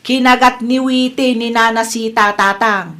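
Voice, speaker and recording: A woman's voice: a little high, fairly even intonation, measured pace, fairly bright tone. A woman playing a careless young dog owner in an Ilocano comedy radio drama, defensive and dismissive, with an Ilocano accent.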